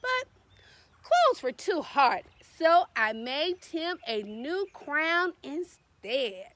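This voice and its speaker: A woman's voice: sits high, very animated, extremely slow, a bit bright, heavily acted. A woman voicing a boastful, playful little fairy girl from a picture book, with childlike, theatrical emphasis. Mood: matter-of-fact, boastful. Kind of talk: picture-book read-aloud.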